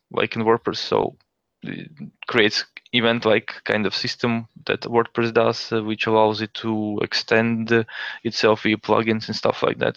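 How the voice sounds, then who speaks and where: A man hosting a relaxed tech chat, reading out items and musing aloud between them. His voice sits at an ordinary pitch and sounds close and dry. The audio is muffled and lo-fi. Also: clean tone, no echo. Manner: reads out listings, thinks aloud. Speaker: podcast host. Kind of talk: casual tech show chat. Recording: dull lo-fi sound.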